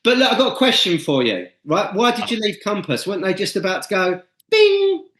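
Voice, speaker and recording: A man's voice: high-pitched, wildly swooping pitch, a little fast, a bit bright, a little hiss or hum. A man co-hosting a podcast, ranting bluntly and swearing freely in casual chat. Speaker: podcast co-host. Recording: echoey room.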